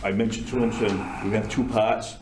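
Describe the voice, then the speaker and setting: A man speaking informally and haltingly from the floor of a town meeting. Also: lowish voice, level tone, background noise. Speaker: community center director. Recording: roomy meeting hall sound.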